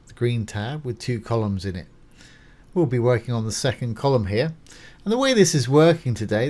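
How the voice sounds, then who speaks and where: A man with a mid-pitched voice presents a screencast tutorial in an informal, conversational way. He talks through each step as he does it, keeping things reassuring and simple. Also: a little mellow. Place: voice-over of a screen recording.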